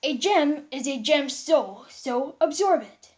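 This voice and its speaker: A woman's voice: high-pitched, animated, slow pace, darkish tone. A woman voicing a firm female gem warrior who gives matter-of-fact instructions. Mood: commanding.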